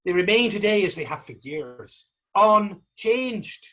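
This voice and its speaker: A man playing a skeptical, down-and-out Irish drifter in a staged period drama reading, husky and sardonic. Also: high voice, animated, slow, pushed and bright, exaggerated voice. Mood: skeptical, exasperated. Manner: wry, sardonic. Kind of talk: stage readers-theater dialogue in Irish period dialect.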